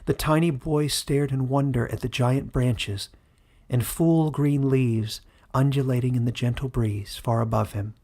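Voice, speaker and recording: A man narrating a fantasy story in a dramatic, scripted style with measured, suspenseful delivery. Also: medium pitch, fairly even intonation, a little mellow. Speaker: author and narrator.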